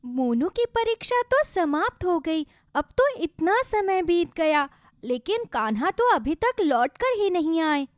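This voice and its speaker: Woman voicing a plaintive, very high-pitched young goddess. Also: sing-song, unhurried, no echo, clean studio sound, heavily acted. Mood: longing. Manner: plaintive, dramatic. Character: young goddess, lovelorn and gently reproachful. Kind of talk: Hindi moral-story cartoon voice acting.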